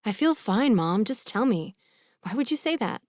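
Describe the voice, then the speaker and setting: A woman's voice: a little high, animated, moderate pace, no room sound, clean studio sound, voice pushed up, lightly acted. A woman voicing a headstrong young woman in love, insistent and emotional, in an audiobook. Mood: pleading. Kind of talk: audiobook character dialogue.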